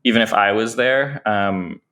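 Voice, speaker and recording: A man's voice: lowish voice, measured pace, pushed and bright. A man speaking as a playwright in a thoughtful interview, hesitant and often correcting himself as he searches for phrasing.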